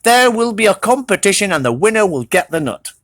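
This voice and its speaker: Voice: mid-range voice, sing-song, a little fast, a bit bright, no echo. A reader as a firm, grumpy storybook badger. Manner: firm, scolding.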